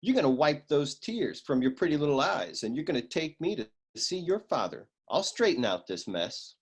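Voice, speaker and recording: An actor playing a wisecracking hardboiled private eye in a comic noir radio serial, with deadpan, slangy tough-guy talk. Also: a little high, normal speed, husky, heavily acted. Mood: smooth, reassuring.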